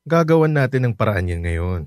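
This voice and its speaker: A man playing a hardworking fifty-year-old taxi-driver father in a radio drama, speaking gently and reassuringly. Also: fairly low pitch, very animated, brisk, no echo, studio quality. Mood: caring.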